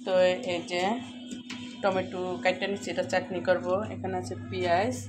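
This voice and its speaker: A woman's voice: lowish voice, flat delivery, moderate pace, over music. A woman with a Bangladeshi accent chatting informally as a mother vlogging her family life at home. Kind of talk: casual home vlog chat to viewers and children.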